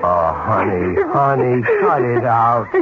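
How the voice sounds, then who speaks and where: A man's voice: lowish voice, animated, slow pace, loud music behind the voice. An actor playing an upright, agitated family man, speaking earnestly and emphatically in radio drama style. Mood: concerned. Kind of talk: 1970s radio drama acting. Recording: dull, noisy old radio broadcast.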